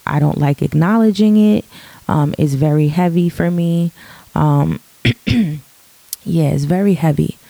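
A woman with a deep, raspy morning voice, speaking in an earnest, reflective way in a casual podcast monologue, full of ums and restarts. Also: slow pace, long pauses, dark tone, no room sound.

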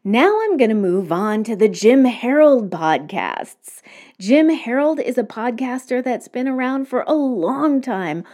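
A woman's voice: fairly high pitch, sing-song, unhurried, a little mellow. A woman hosting a solo podcast, talking conversationally and recommending things to listeners. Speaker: podcast host.

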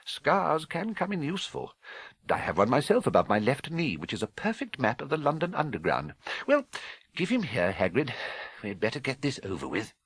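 A grave old wizard from a storybook, acted by a man. The voice is husky and dry, calm and measured, with gentle authority. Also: medium pitch, no room sound, voice pushed up, full character voice. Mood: solemn. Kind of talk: audiobook character voice.

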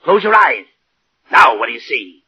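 Old-radio fox con artist: high, husky, swooping delivery, gushing and falsely kind, muffled vintage sound. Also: male voice, big pitch swings, normal speed, sharp tone, exaggerated voice. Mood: mock concern. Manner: theatrical, gushing, falsely sympathetic. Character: sly flamboyant male fox swindler, mock-doctor flattery. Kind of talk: 1930s-1940s radio drama acting.

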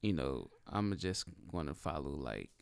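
A man co-hosting a casual podcast chat, easygoing and quick to agree, with plenty of fillers. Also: rumbling low, sing-song, vocal fry, smooth, dry recording. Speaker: podcast co-host.

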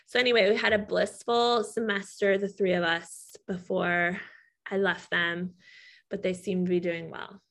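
Woman, thirties, casual co-host, animated, tense bright tone, gravelly edges. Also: mid-range voice, normal speed. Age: 30s. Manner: animated storyteller, acts out quotes. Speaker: podcast co-host. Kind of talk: casual chat.

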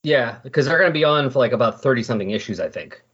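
A man's voice: mid-range voice, expressive, fast, a bit bright, slightly rough, highs cut off. A man hosting a podcast in a casual, conversational style. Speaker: podcast host. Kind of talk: casual chat about comic books.

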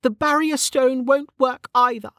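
A woman's voice: high voice, steady tone, unhurried, a bit bright, no echo, studio quality, voice pushed up. A woman voicing a bitter, weary, hot-tempered and foul-mouthed woman in an audiobook, snappish and scornful. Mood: bitter, exasperated.

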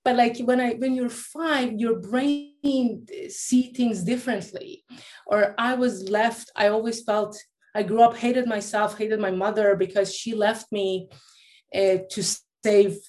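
A woman's voice: on the high side, steady tone, takes it slowly, a little mellow. A woman in her late thirties, originally from Iran, telling her personal story as a guest with many restarts and fillers. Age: late 30s. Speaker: guest, life coach.

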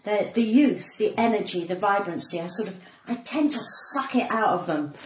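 An English woman in her sixties with a cut-glass accent and a middling pitch. She chats in an effusive, theatrical, self-mocking way. The recording has a roomy echo and some faint noise. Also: expressive, normal speed. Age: 60s. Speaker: comedian, podcast guest. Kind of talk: casual interview chat.

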